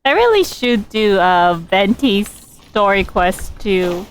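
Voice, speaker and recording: A woman streaming a game, casually commenting and reading dialogue choices in an amused, halting way. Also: medium pitch, very animated, smooth, rough recording.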